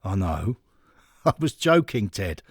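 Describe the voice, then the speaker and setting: A man's voice: low-pitched, sing-song, takes it slowly, husky, no echo, studio quality, voice pushed down, full character voice. A man voicing a wry, affectionate male football fan who teases his devoted partner in an audio story. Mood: teasing. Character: teasing, affectionate man, half of a devoted couple.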